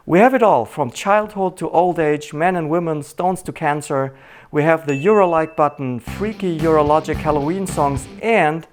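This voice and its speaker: Man, German accent, middle-aged, channel host, scripted and dry-humored, slightly high pitch.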